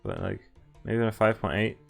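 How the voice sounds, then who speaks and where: A man's voice: lowish voice, moderate pace, vocal fry. A man streaming a visual novel, reading its dialogue aloud and commenting casually. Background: game music.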